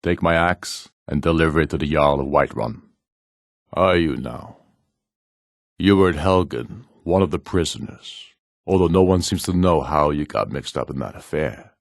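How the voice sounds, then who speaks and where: A proud Nordic warlord for a fantasy video game, with an extremely deep voice. He speaks like a ruler addressing his warriors, solemn, measured and imperious. The sound is close and dry. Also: gravelly, dry recording. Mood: stern, commanding. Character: proud, commanding rebel warlord, a middle-aged Nordic jarl.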